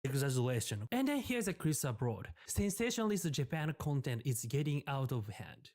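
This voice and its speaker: A man's voice: mid-range voice, very animated, normal speed, darkish tone. A man giving casual commentary in a played video. He explains in a chatty way with loose, informal grammar.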